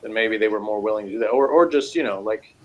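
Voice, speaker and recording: A man's voice: fairly low pitch, fast talker, muffled recording, slight background noise. A man talking casually in a loose, rambling discussion, feeling his way through his points hesitantly. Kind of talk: casual discussion of TV and personality types.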